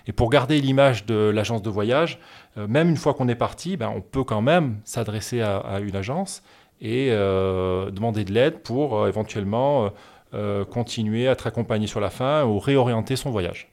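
A man working as a wealth management advisor, answering interview questions in a measured, explanatory way with frequent hesitations. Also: fairly low pitch, a little mellow.

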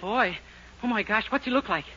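An actor playing a naive, earnest, lovesick young boarder in a 1940s radio comedy. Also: male voice, high-pitched, animated, normal speed, sharp tone, breathy edge, exaggerated voice. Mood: jealous, panicked. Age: young adult. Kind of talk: 1940s radio sitcom acting. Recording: noisy, muffled 1940s radio recording.

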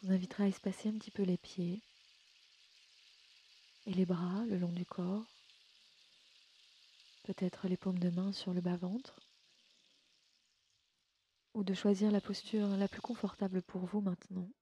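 Soft, mellow woman guiding sleep meditation. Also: mid-range voice, level tone. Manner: soothing, gentle, unhurried, often breathy near-whisper. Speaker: yoga nidra guide and podcast host. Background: music.

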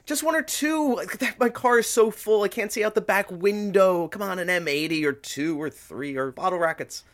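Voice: mid-range voice, moderate pace, natural voice. An actor playing an impish radio show sidekick, coaxing and teasing in casual audio drama banter. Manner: teasing, cajoling.

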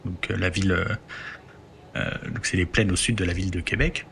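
A man with a lowish voice explains history in a relaxed podcast chat. He talks it through step by step, pausing on filler words as he builds his sentences. Faint background noise sits under his voice. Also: creaky. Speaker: host telling history.

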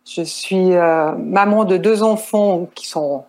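A woman's voice: lowish voice, slow, a little mellow. A woman in her sixties with a Swiss French accent, a nurse and counselor answering interview questions in a hesitant way, with many fillers and restarts. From Switzerland. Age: 60s. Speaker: guest nurse and marriage counselor.

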